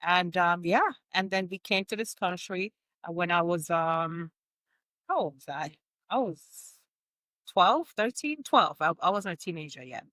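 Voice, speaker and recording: A woman's voice: on the low side, lively intonation, a little slow, a bit bright, no room sound. A woman, a fitness coach telling her life story as a podcast guest, laughing often. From Jamaica. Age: 60s. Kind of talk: podcast interview.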